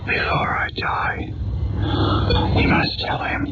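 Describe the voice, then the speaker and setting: An actor playing a weak, confused, dying old man who pleads in a breathy whisper in a radio drama. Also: slow, lightly acted. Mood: weak, urgent. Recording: muffled radio capture, loud music.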